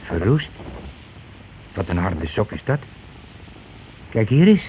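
An actor playing a scheming, money-grabbing husband and landlord in a radio play, talking in wheedling, self-justifying colloquial patter. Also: male voice, on the low side, very animated, takes it slowly, mellow. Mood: excited, greedy. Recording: noisy old radio drama recording.

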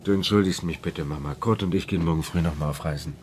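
A man's voice: low voice, fast talker, a little mellow, slight background noise, close to an everyday voice. An actor playing a serious, defiant young man in a German radio play. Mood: evasive, restrained. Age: young adult.

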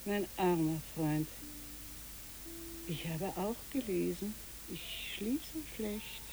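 A woman's voice: on the low side, slow pace, noisy background. A woman playing a kindly, dreamy old boat keeper in a German radio play, musing as she tells things.

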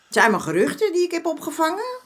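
A woman's voice: very high voice, wildly swooping pitch, quick pace, heavily acted. An actress playing a streetwise, motherly older woman in a radio drama, talking bluntly and familiarly. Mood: confiding, indignant. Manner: familiar, blunt, calls men "jongen". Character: streetwise, motherly older woman of the red-light district, frank. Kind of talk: naturalistic Dutch radio drama acting.

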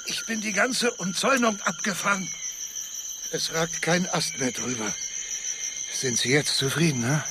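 Actor as gruff man, curt, irritable, radio drama, raised high voice, heavy jungle noise. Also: a little slow, pauses often, natural voice. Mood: irritated, assured. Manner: curt, impatient. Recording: loud jungle insect noise behind.

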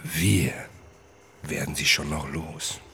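A very deep, gravelly, brooding man. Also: fairly even intonation, slow pace, fairly bright tone, slight background noise, exaggerated voice. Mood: bitter, defiant. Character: brooding, suspicious middle-aged male writer with a troubled past. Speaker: narrator and protagonist of a radio play. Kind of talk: first-person radio drama narration, present tense. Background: music.

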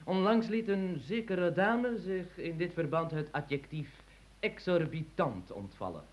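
A man acting a fussy, pompous gentleman in an old radio play, wordy and excitable, proud of his notebook. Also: fairly high pitch, a little slow. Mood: chattering.

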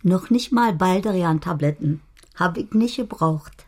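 An actress playing an odd, tender, lovesick middle-aged woman reciting poetic letters in a radio play, halting and earnest. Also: on the low side, animated, noisy background. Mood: wistful, adoring. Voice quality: creaky, soft. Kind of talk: intimate radio play monologue.

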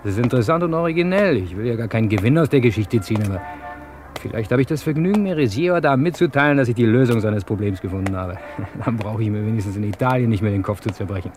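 A man's voice: lowish voice, fast talker, darkish tone, faint music behind, lightly acted. An actor playing a nervy, scheming accomplice of a gangster in an old German radio crime drama, theatrical in his musing. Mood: nervous musing. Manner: theatrical, chuckling, musing aloud. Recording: noisy vintage radio play recording.

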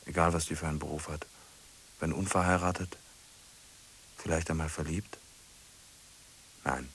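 An actor playing a solitary man musing aloud in a radio play, halting, repeating phrases and muttering questions to himself. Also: a bass voice, steady tone, a bit bright, a little hiss or hum. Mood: pondering, resigned. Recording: music playing behind the voice.

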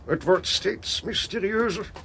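An actor playing a brisk, inquisitive police detective who questions deliberately in a radio play. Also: male voice, high-pitched, vocal fry, a bit bright, exaggerated voice. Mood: probing, brisk. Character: inquisitive male detective leading an arson investigation. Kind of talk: Dutch radio play acting. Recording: faintly noisy radio play recording.

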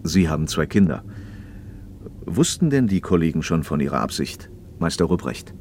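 An actor playing a paternal, probing factory manager in a radio play, speaking in a measured, slightly didactic way. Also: male voice, rumbling low, very animated, a little mellow, slight background noise, heavily acted. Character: socialist factory manager, paternal, probing, talkative male official. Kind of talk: naturalistic German radio play dialogue.

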